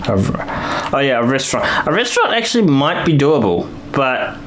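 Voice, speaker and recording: A man's voice: mid-range voice, very animated, moderate pace, a bit bright, a little hiss or hum. A man live-streaming a building game, rambling and thinking aloud with lots of restarts while he is losing his voice.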